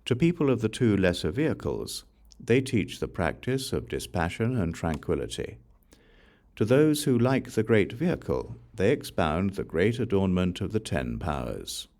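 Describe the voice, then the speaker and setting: A man reading scripture aloud as an audiobook narrator, solemn and formal, in measured phrases that fall away at the ends. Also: low-pitched, very animated, darkish tone. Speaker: audiobook reader.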